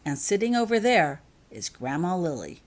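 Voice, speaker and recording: A woman voicing a solemn older boy who calmly guides younger children in a story reading. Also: on the low side, normal speed. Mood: quietly instructive.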